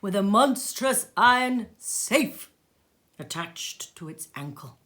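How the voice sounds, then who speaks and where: A woman reading a classic story aloud as expressive narration, with dramatic, deliberate and emphatic phrasing. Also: mid-range voice. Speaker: storybook reader.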